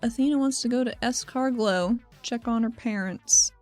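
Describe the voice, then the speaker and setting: A woman's voice: on the high side, normal speed, darkish tone, faint music behind. A woman playing a tabletop game, improvising in a casual, somewhat tentative way. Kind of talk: casual improvised role-play.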